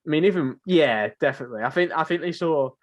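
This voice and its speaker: A young man co-hosting a podcast in casual chat, speaking haltingly and often repeating his phrases. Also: a little high, expressive, muffled recording. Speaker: podcast co-host.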